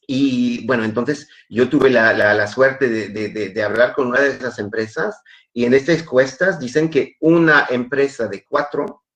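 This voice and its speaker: A man's voice: medium pitch, moderate pace, a bit bright. A man with a French accent giving a webinar talk in Spanish, hesitant and often repeating words, heard over a video call. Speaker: webinar speaker.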